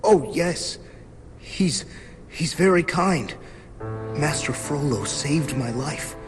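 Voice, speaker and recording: An actor playing a gentle, sheltered young man in a video game, meek, hesitant and apologetic. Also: a little high, expressive, slow pace, big-room sound. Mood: meek, trusting. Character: timid, gentle, sheltered young male bell-ringer, self-doubting. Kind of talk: video game cutscene dialogue. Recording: game audio with loud music behind.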